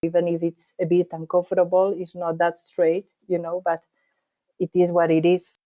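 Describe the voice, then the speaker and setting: A woman from Spain, a guest answering interview questions in thoughtful, slightly halting English. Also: fairly low pitch, monotone, dark tone, lo-fi sound. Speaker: podcast guest, bike traveller.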